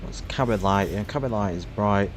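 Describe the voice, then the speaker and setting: Male sim streamer, low-voiced, thinks out loud and chats casually; faint background hum. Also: normal speed. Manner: chatty, musing aloud with frequent fillers. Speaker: flight simulator streamer. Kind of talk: casual live-stream commentary.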